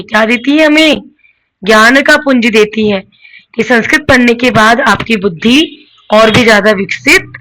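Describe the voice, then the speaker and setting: Lady teacher, slow and patient, bit high voice, asks then answers herself. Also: a bit bright, rough recording. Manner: mixes English words into Hindi. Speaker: Sanskrit teacher. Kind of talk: classroom-style lesson.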